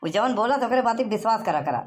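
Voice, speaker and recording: Woman voicing a high-voiced, preachy village elder. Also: expressive, fast, natural voice. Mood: scolding. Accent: Awadhi. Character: preachy, good-natured village elder man Banwari. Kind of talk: comic cartoon dialogue.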